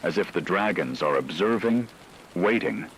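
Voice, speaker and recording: A man plays a grave radio news anchor with a low-pitched voice. He reads dramatic disaster reports in a formal, deliberate way. A faint rain-like noise sits behind his words. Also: expressive, a bit bright, rough recording. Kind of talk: scripted dramatic news narration.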